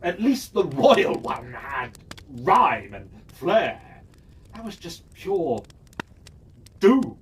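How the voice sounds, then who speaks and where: A man spinning a fantasy tale in character, musing aloud and asking himself questions as he goes. He speaks slowly, and his pitch rises and falls a lot. He sits back from a distant mic, with a faint hiss underneath. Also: very animated. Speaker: storyteller.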